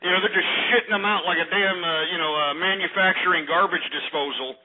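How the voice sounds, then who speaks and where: A man's voice: high-pitched, brisk, noisy background. An American man hosting a call-in radio show, ranting argumentatively in a blustering, mocking manner and cutting in. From United States. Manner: combative, blustering, mocking, interrupting. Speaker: radio talk show host. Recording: tinny phone-like internet radio sound.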